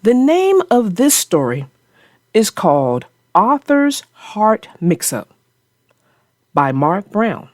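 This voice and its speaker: A woman's voice: mid-range voice, huge pitch swings, takes it slowly, darkish tone, no echo, clean studio sound. A woman hosting and narrating a read-aloud for young children, speaking directly to her young listeners. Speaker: children's book narrator and channel host.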